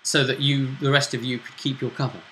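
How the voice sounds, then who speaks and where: A man acting as game master, recapping a tabletop role-play session in a casual, halting storytelling way. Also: mid-range voice, little pitch movement, a bit bright, slight background noise, poor audio.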